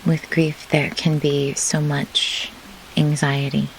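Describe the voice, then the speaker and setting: A woman leading a guided meditation in measured, deliberate phrases with pauses, as a host who works as a grief doula. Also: sits low, rough recording. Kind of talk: slow guided meditation instruction. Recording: clear pink-noise rain hiss behind. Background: pink noise like steady rain.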